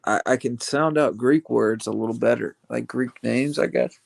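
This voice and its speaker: A man hosting a casual livestream chat, rambling and halting with many fillers. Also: mid-range voice, creaky, no room sound. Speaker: livestream host.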